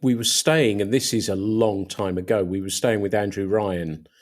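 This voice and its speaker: A man's voice: on the low side, steady tone, moderate pace. A man hosting a podcast interview, speaking in a hesitant, thoughtful way as he searches for words. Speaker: podcast host.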